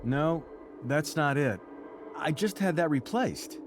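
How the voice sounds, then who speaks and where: An actor playing a gentle, teasing middle-aged father in a naturalistic video game drama, with a playful, reassuring manner. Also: a little high, lively intonation, slow pace, noisy background. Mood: mildly puzzled.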